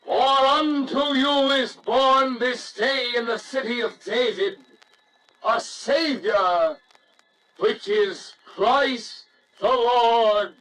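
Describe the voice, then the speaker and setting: Man as a majestic, high-pitched proclaiming angel. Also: fairly bright tone, far from the mic, exaggerated voice, low-quality recording. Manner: proclaiming, grand and measured. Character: majestic loud angel of the Lord. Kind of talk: radio Christmas story acting.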